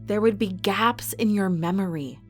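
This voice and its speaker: A woman's voice: medium pitch, moderate pace, natural voice. A woman playing a composed, imperious villain who runs a fantasy fight arena in an audio drama. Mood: curt, assured. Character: cold, commanding female villain running a fantasy fight arena. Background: music.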